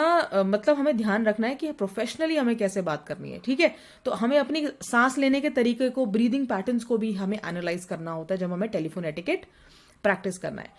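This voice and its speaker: A woman teacher giving an exam revision lesson, explaining each point in plain words and repeating English terms. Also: fairly high pitch.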